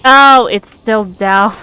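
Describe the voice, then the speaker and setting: A woman's voice: a little high, sharp tone, a little hiss or hum. A woman giving casual gameplay commentary, musing aloud to herself as she plays. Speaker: game streamer.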